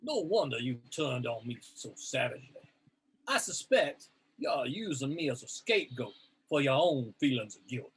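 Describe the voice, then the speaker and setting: A man's voice: medium pitch, big pitch swings, normal speed, slightly rough, low-quality recording. A man taking part in a casual amateur read-through of comic dialogue. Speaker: reader in an amateur comic dramatic reading.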